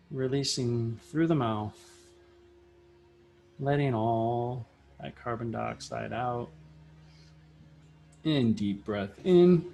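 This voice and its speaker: Very slow-talking man guiding meditation. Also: mid-range voice, gravelly. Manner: measured, instructing in short phrases with long pauses. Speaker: meditation guide. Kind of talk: guided meditation, spoken slowly and soothingly. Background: soft plucked guitar music.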